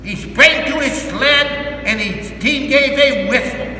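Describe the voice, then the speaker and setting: High-voiced older man reading in an echoey hall. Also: measured pace, sharp tone. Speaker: volunteer storyteller. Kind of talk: reading a Christmas poem aloud to a group, with simple asides. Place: large echoey indoor room, recorded from far off. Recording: echoey hall, distant mic, noisy background.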